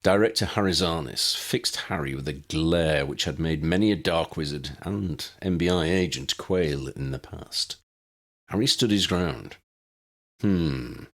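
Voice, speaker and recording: A man's voice: rumbling low, measured pace, a bit bright. A man reading a scripted story aloud as an audiobook narrator.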